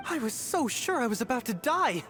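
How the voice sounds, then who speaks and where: Earnest, timid boyish adventurer over music. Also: female voice, high voice. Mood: shaken. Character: timid, earnest, admiring young male would-be adventurer. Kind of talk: video game voice acting.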